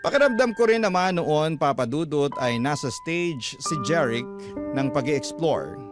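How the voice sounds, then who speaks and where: A man acting a reflective, confessional storyteller in a radio drama. His voice is slightly high and very expressive in pitch, set over loud guitar music. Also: close to an everyday voice. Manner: confessional letter-telling. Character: reflective storyteller recounting a past romance. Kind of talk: dramatic first-person radio drama narration.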